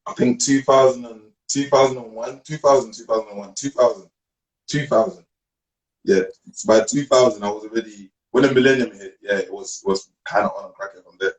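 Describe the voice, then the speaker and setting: A man from Cameroon, a guest on a video call interview, telling his story in relaxed street slang. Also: mid-range voice, a little fast, low-quality recording. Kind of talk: casual interview.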